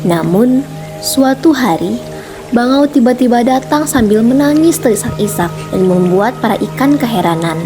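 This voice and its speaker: A woman's voice: a little high, animated, normal speed, over music. A woman narrating an animal fable as scripted storytelling.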